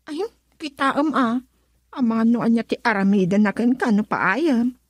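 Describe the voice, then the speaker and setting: A woman's voice: on the high side, moderate pace, no echo, lightly acted. An actress voicing a curious young woman pressing her cousin with questions in a radio drama. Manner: inquisitive, lively questioning.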